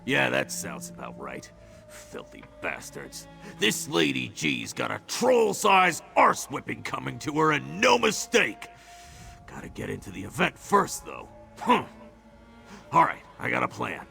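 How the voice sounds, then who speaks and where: A brawny orc brawler with a gravelly, bright, forceful voice that swings widely in pitch. Coarse and teasing, he boasts about himself in a video game scene. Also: huge pitch swings, measured pace, faint music behind, full character voice. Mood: gruff. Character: gruff boastful male orc ally.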